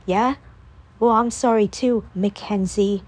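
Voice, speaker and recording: A woman voicing a hurt, stern young man who speaks low and slow. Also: fairly even intonation, darkish tone. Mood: hurt, cold.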